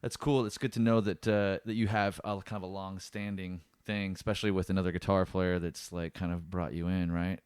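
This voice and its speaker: A man's voice: low voice, little pitch movement, a little fast, few pauses, a little mellow, clear, resonant tone, no echo, studio quality. A man hosting a podcast, asking loose, rambling questions in an encouraging, friendly way. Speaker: podcast host. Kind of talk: casual interview chat.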